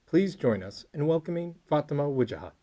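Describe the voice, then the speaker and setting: A man's voice: a little high, sing-song, a little fast, music in the background. A young man co-hosting an interview, introducing the guest and asking questions. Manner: brief acknowledgments while listening. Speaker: co-host.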